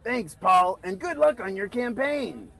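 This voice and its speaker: A brisk event host played by a man with a high, very lively voice, easily exasperated, in comedy-sketch style. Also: creaky, exaggerated voice.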